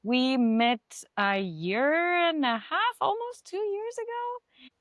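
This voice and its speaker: A woman's voice: sits high, lively intonation, slow pace, fairly bright tone, no room sound, studio quality. A woman hosting a podcast, chatting casually and laughing easily with her guest. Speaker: podcast host. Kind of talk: casual interview chat.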